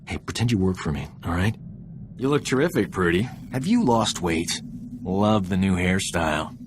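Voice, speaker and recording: A man's voice: low voice, animated, normal speed, background noise. An actor playing a roguish, flippant combat medic and ladies' man in a video game. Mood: cocky, flippant. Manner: glib, breezy, self-satisfied, flippant.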